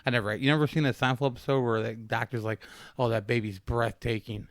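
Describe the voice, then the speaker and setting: A man's voice: medium pitch, steady tone, fast talker, dry recording. A man in his late thirties joking crudely and laughing often in casual podcast banter. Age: 30s. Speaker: podcast co-host.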